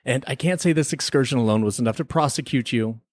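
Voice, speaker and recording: A man with a slightly lower-pitched voice hosts a podcast on a close, dry mic. He speaks with teasing mock formality, as if running a playful tribunal. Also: quick pace, dry recording. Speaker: podcast host. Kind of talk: semi-scripted mock-tribunal podcast banter.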